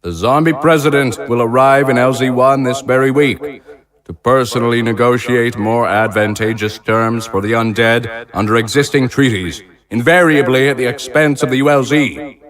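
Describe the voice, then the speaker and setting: A man's voice: medium pitch, steady tone, moderate pace, natural voice. Male actor as militaristic consul, audio drama, rhetorical, stately, proud, defiant, crowd noise behind. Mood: defiant, resolute. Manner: declamatory, rhetorical, stately emphasis. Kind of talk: theatrical political oratory.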